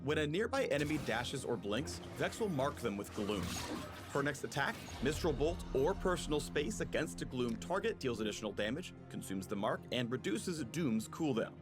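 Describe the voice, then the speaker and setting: A man's voice: mid-range voice, sing-song, moderate pace. A man reading scripted promotional narration as the narrator of a video game character spotlight. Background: game music.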